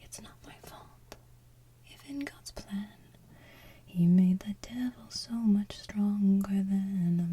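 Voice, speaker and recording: Breathy woman softly whisper-singing ASMR, very mellow. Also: mid-range voice. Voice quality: breathy, hushed, soft. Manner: slow, gentle delivery, mostly whispered. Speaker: ASMR channel host. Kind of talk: ASMR soft singing of animated film villain songs.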